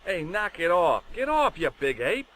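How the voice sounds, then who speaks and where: An actor playing a plucky young American amateur sleuth in a video game, with a dry, wry manner. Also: male voice, high voice, very animated, moderate pace, a bit bright, slight background noise, poor audio. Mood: indignant. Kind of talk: video game narration and dialogue. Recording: music behind.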